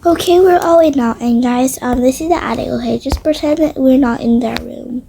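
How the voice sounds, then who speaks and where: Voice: high voice, normal speed, gravelly, warm tone, a little hiss or hum. A child narrating an improvised roleplay story as the video's storyteller. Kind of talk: improvised doll-game roleplay.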